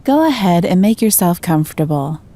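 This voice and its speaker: A woman narrating a scripted guided meditation in a gentle, calm and soothing manner. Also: lowish voice, moderate pace.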